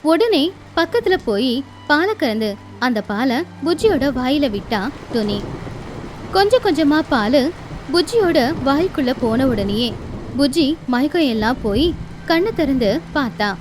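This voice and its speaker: High-voiced woman narrating a children's story. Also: big pitch swings, measured pace, slight background noise.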